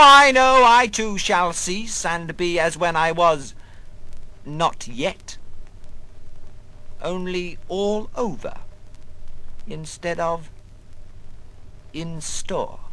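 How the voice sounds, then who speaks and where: An actor playing an old, half-mad, bitter but harmless wanderer in a dramatic monologue, rambling in broken phrases with sudden outbursts. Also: male voice, a little high, sharp tone, low-quality recording. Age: older. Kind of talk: dramatic literary monologue reading.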